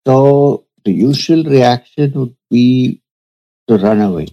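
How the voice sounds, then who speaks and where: A man, a spiritual teacher, telling a story from his past in a slow, measured, reflective way during an interview. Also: mid-range voice, lo-fi sound. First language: Urdu.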